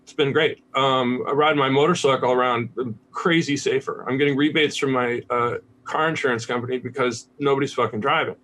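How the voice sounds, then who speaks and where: A man's voice: medium pitch, fairly even intonation, brisk, few pauses, fairly bright tone, slight background noise. An American man talking casually as a podcast guest, candid and laid-back, swearing freely. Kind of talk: casual conversational interview.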